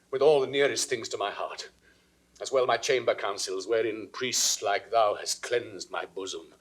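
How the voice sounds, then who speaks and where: A man's voice: fairly low pitch, fairly bright tone. An actor playing a jealous, suspicious king with a British accent, in theatrical classical Shakespearean style for an audio drama. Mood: brooding suspicion. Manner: theatrical, crisply declaimed, dropping into whispers. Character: jealous, suspicious king brooding over his wife's imagined betrayal. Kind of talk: classical Shakespearean stage acting, 1960s audio recording.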